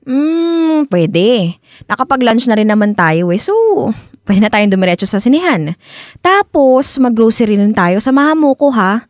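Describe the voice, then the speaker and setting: Lively, teasing young girlfriend. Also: fairly high pitch, dry recording, clean studio sound. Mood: light, affectionate. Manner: teasing, chiding, with small laughs and drawn-out particles. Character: young working girlfriend, teasing, practical, gently nagging. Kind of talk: radio drama acting, natural conversational dialogue.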